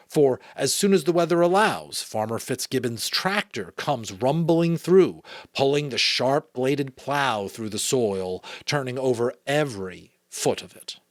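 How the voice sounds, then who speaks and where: American guy narrating a kids novel, expressive, medium pitch, really dry sound no echo. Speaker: amateur audiobook narrator. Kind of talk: dramatic storybook reading with casual asides.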